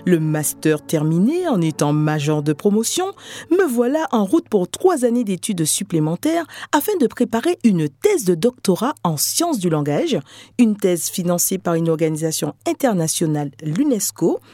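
A middle-aged woman, a researcher, giving a measured, scripted podcast narration. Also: lowish voice, big pitch swings, dark tone, no room sound, studio quality, faint music behind. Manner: measured, storytelling delivery. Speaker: researcher and lecturer.

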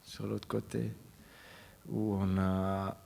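Man, musician, low-pitched and unhurried, halting delivery. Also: flat delivery, creaky, darkish tone. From Switzerland. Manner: halting, with many fillers and restarts. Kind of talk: casual interview answers.

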